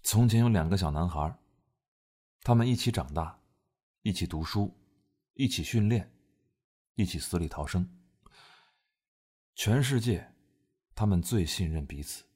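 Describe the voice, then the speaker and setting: Casting: male actor as restrained young commander, deep voice with a husky edge, measured radio drama delivery. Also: lively intonation, warm tone, heavily acted. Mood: subdued, wistful. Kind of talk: Chinese radio drama acting.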